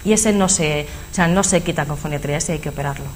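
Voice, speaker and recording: Brief: Spanish-accented woman, slightly low voice, studio interview guest, animated explainer, faint hiss. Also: quick pace. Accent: Spanish from Spain. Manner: animated explainer with frequent fillers. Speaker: speech therapist guest. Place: local TV studio.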